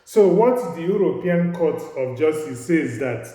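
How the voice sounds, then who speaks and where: A man with a Nigerian English accent giving a university law lecture, halting and repeating words as he explains. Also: a little high, expressive, warm tone. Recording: very echoey room.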